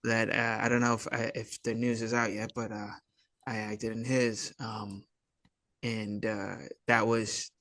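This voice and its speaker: A man's voice: lowish voice, little pitch movement, measured pace, a bit bright, no room sound. A man chatting casually as a filmmaker guest in an interview, hesitant and full of slang like dude and man. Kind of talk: casual chat.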